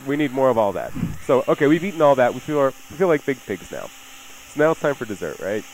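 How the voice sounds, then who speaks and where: A man's voice: medium pitch, big pitch swings, quick pace, slight background noise, poor audio. A man hosting a radio cooking show, casually explaining recipes in a jokey, chatty way. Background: music playing behind the voice.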